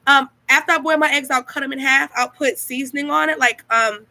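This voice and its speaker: Chatty woman with a bright, high voice. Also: fairly even intonation, a little fast. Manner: chatty and enthusiastic, talks directly to viewers. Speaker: vlogger. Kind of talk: casual diet vlog, showing food to camera. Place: small room at home, indoors. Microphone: built-in camera mic, webcam at close range.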